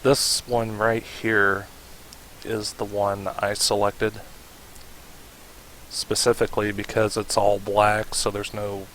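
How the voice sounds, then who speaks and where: A man with a fairly low voice hosts a casual how-to tutorial. He speaks slowly and haltingly, pausing to think, with very little rise and fall in his tone. A faint steady hiss sits behind him. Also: low-quality recording. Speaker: tutorial host.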